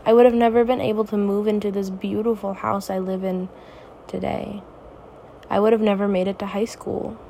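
A teenage girl hosting her own podcast, talking softly and reassuringly in a raspy, tired voice late at night. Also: medium pitch, slight background noise. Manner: soft, reassuring, hesitant. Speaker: podcast host. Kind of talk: personal podcast monologue.

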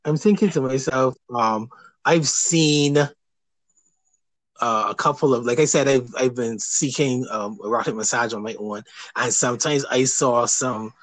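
A man hosting a casual interview, talking hesitantly with many restarts and pauses. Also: medium pitch, dry recording, poor audio. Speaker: podcast host.

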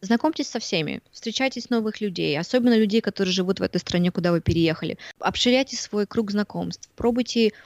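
A woman's voice: mid-range voice, fast talker, hardly any pauses, no room sound, dull audio. A woman from Siberia in her thirties, a podcast guest chatting casually and animatedly, laughing often and acting out what others said. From Siberia, Russia. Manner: animated, laughs often, acts out quoted voices. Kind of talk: casual interview chat.

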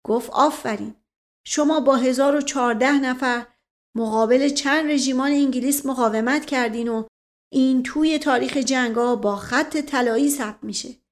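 A woman voicing a self-important, paranoid older uncle, pompous and grave, in a storybook reading. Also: sits high. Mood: boastful.

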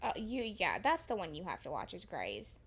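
A young woman co-hosting a podcast in casual chat, relaxed and chatty with casual swearing. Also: fairly low pitch, moderate pace, crowd noise. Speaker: podcast co-host.

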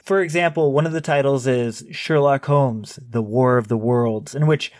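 A man's voice: mid-range voice, normal speed, a little mellow, no room sound, studio quality. A man co-hosting a book podcast, chatting casually with many fillers and restarts. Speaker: podcast co-host.

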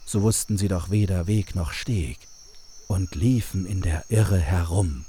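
Deep-voiced, unhurried male storyteller. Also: mellow, a little hiss or hum. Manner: measured, deliberate storytelling. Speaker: narrator. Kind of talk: scripted fairy-tale narration.

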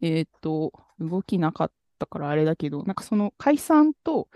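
Lively, mellow-toned podcast co-host. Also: medium pitch, moderate pace, no echo, clean studio sound. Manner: chatty, hesitant, trails off with fillers. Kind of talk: casual chat about music.

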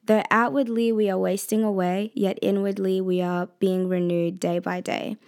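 A young woman of twenty chats casually on her personal vlog, her voice sitting at an ordinary middle pitch. She is expressive and slangy, often breaking into light laughter mid-sentence. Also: normal speed. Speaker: vlogger and university student.

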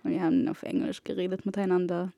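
A woman's voice: lowish voice, level tone, fast talker, warm tone, no echo, dull audio. Woman mixing English into casual chat. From Egypt. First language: Arabic. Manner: mixes English words into her talk, hesitant. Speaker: podcast guest.

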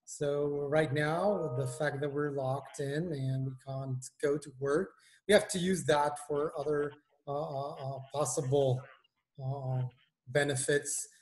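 Israeli guy in his 40s on a video call, lots of uh and restarts, voice a bit on the high side. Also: steady tone, slow pace, low-quality recording. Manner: hesitant, many fillers and restarts. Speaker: guest, engineering manager. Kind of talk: casual video-call interview.

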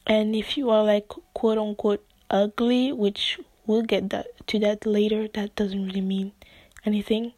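Non-native English-speaking woman host, slow, breathy and half-whispered, dry close sound, hesitant rambling chat. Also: medium pitch, flat delivery, dry recording. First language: not English. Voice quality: soft, often near-whispered delivery. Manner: rambling, hesitant, many fillers and restarts. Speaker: podcast host. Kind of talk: casual solo podcast monologue.

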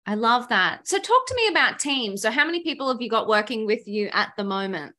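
A woman's voice: on the high side, sing-song, brisk, pushed and bright. Upbeat lady host asking a guest questions, lots of oh my god and i love that. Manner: enthusiastic, affirming. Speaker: podcast host. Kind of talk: casual interview chat.

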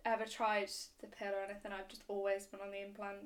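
A woman's voice: medium pitch, monotone, quick pace. A twenty-year-old woman speaking casually as a podcast guest. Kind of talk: casual chat.

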